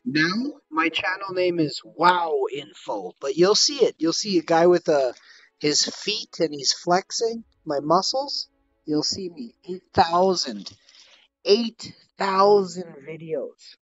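Husky upbeat Canadian gaming YouTuber. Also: male voice, sits high, animated, fairly bright tone, highs cut off, faint music behind. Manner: upbeat, slangy, repeats himself slowly to a non-English speaker. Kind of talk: casual chat with an online gaming opponent.

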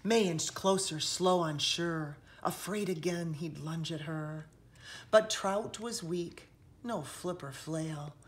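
Slow, low-voiced woman reading a storybook. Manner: dramatic, deliberate, with hushed passages and emphatic rises. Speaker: children's author reading aloud. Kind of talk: expressive storybook read-aloud. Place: indoors, seated on a sofa in a room.

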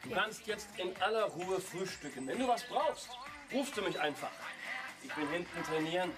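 Volatile, controlling husband, music behind. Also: male voice, high voice, lively intonation, moderate pace. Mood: gruff, controlling. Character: volatile, controlling husband, apologetic then gruff. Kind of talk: German radio drama acting.

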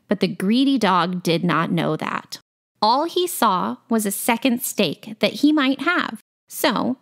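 A woman reading a scripted children's tale as a storyteller. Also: medium pitch.

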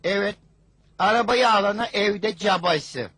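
A man voicing a sarcastic young boy in a Turkish cartoon dub, with a wry, deadpan manner. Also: high voice, measured pace, breathy edge, no echo, heavily acted.

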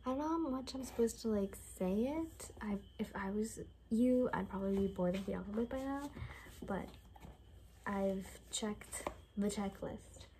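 A young woman speaking casually in a hushed, half-whispered ASMR roleplay style, close to the mic in a room. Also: medium pitch, normal speed, poor audio. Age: young adult. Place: small furnished room indoors. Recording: noticeable background noise.

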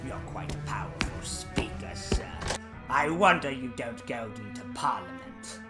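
A man's voice: mid-range voice, sing-song, a little slow, over music. An actor playing a bitter, miserly old businessman in a radio drama, curt, scornful and sarcastic. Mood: threatening, sardonic. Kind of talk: stage-style radio drama acting.

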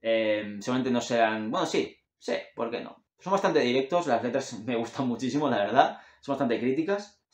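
A man's voice: mid-range voice, lively intonation, normal speed. Chatty man in an echoey room. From Catalonia, Spain. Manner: rambling, enthusiastic, self-correcting, gestures with his hands. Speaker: host recommending music. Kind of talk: casual chat. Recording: roomy echo in a home room.

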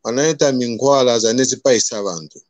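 A man with a Malawian accent calling in to a live show, slowly complaining in a local language. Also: mid-range voice, dry recording. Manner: long slow rant in a local language. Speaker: caller. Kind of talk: phone-in complaint.

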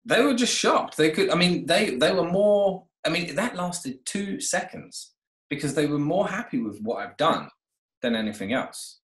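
A man's voice: on the high side, very animated, moderate pace, a bit bright, roomy sound. A man in his thirties, a guest on an interview, talking casually about his renovation company with many fillers and restarts, speaking over a video call from his home. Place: home room, indoors.

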